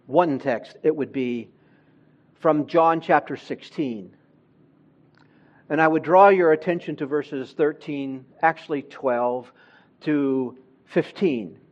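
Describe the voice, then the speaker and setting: Slow, emphatic man preaching a sermon. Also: on the high side, highs cut off. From Honduras. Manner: slow, emphatic preaching, swelling louder on key words. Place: church.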